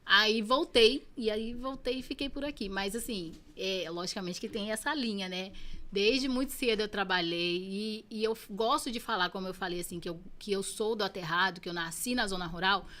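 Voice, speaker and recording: A woman's voice: mid-range voice, normal speed, fairly bright tone. A Brazilian woman in her thirties, a podcast guest telling her own story in an earnest, reflective way. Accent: Brazilian Portuguese. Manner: earnest, reflective, repeats phrases for emphasis. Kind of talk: personal storytelling in an interview.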